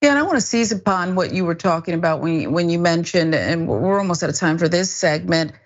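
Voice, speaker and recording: A woman with an American accent hosting a news discussion, speaking with emphatic, deliberate phrasing. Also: on the low side. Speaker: news show host. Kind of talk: news presenting and panel discussion. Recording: dull, band-limited broadcast audio.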